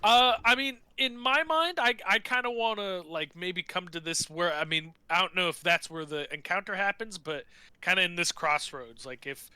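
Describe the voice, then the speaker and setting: A man's voice: sits high, moderate pace, sharp tone, smooth, no room sound. A man playing a tabletop game, chatting casually and rambling as he thinks aloud about his plans.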